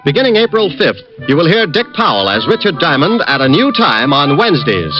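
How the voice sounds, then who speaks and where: A man's voice: on the high side, big pitch swings, a little fast. A man announcing the closing credits and notices in a polished, formal manner for an old radio program. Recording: dull old radio recording with music.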